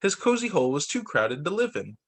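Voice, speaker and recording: Animated male teacher reading aloud. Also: a little high, brisk. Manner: expressive, comments aloud on the pictures. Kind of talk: picture-book read-aloud with off-the-cuff remarks.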